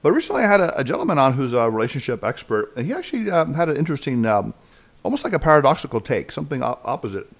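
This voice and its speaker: An American man hosting an interview podcast in a chatty, animated way. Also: mid-range voice, racing, creaky. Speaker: host, clinical psychologist and speaker.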